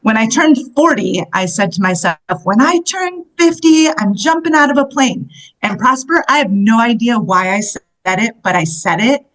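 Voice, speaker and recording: Animated, laughing woman in her fifties. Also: a little high, moderate pace, rough recording. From United States. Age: 50s. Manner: animated, laughs often while telling stories. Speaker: guest, solo travel coach. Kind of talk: casual interview storytelling.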